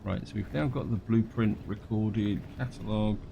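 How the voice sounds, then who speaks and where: A man with a low, gravelly voice talks through a game as he plays. He sounds croaky and blocked up from a cold and rambles along with fillers. A faint hiss sits under the recording. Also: moderate pace. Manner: rambling, thinks aloud with fillers and restarts; reads on-screen game text aloud. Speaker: gameplay commentator. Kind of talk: casual gameplay commentary.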